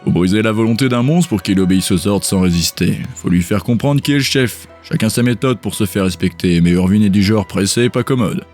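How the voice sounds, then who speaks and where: A cynical male scout acted by a man in an audio drama. He speaks in a lowish voice at a quick clip, offhand and matter-of-fact, gruff in temper. Soft music plays under the lines. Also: lightly acted. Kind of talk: audio drama character dialogue.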